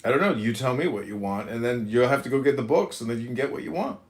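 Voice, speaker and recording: A man hosting a live online voice chat, lecturing and hurling insults in quick jabs. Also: mid-range voice, animated.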